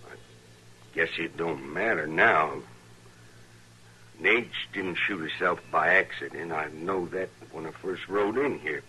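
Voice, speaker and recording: An American actor playing a defeated, plaintive captured outlaw in an old-time radio western, with rough, uneducated phrasing. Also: male voice, sits low, unhurried, sharp tone, heavily acted. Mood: resigned. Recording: slightly noisy vintage radio recording.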